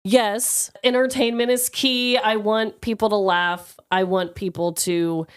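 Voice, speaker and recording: A woman co-hosting a podcast in an expressive, informal chat with listeners and her co-host. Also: medium pitch, a little slow, clear, resonant tone, no echo, studio quality. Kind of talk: casual chat, reading listener questions.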